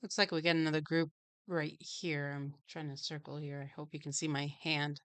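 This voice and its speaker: A woman with a very low voice talks casually as a livestream host. Her sound is dry and close, with no echo. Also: level tone, moderate pace, a bit bright. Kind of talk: casual live commentary.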